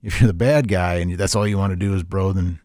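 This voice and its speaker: A middle-aged man chatting casually on a podcast, telling stories in a rambling, animated way with repeats and chuckles. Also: low-pitched, quick pace, no echo. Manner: animated storyteller, chuckles often, repeats himself. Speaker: podcast host, veteran tabletop gamer.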